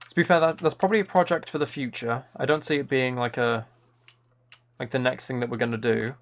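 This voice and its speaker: A man's voice: mid-range voice, fairly even intonation, brisk. A man chatting casually on a gameplay livestream, thinking aloud and rambling enthusiastically through ideas. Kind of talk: casual gameplay stream chat. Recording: clear background noise with keyboard clicking.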